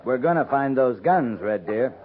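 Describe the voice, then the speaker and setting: An actor playing a shrewd, stern frontier cavalry captain in a 1950s radio western, speaking in blunt, clipped commands. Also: male voice, medium pitch, expressive, normal speed, natural voice. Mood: firm, defiant. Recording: muffled, faintly noisy 1950s radio recording.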